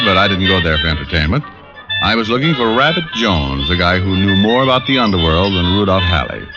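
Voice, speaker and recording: An actor playing a tough, sardonic private detective, narrating and talking in an old-time radio drama. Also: male voice, lowish voice, natural voice. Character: wry, tough, wisecracking male private detective. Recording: muffled old radio recording, music behind.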